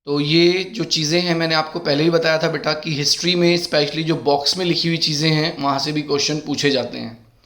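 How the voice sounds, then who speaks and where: A man's voice: a little high, fairly even intonation, a bit bright. Man teaching with a sore throat. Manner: addresses students as beta, reads questions out slowly. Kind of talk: exam-prep lesson in Hindi mixed with English.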